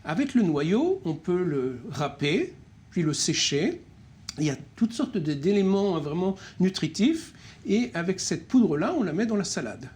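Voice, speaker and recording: Man, middle-aged, french speaker, interview guest, hesitant with many restarts, somewhat high voice. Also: big pitch swings, normal speed, a little mellow. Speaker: co-director of a nonprofit, interview guest. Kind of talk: spontaneous interview answers.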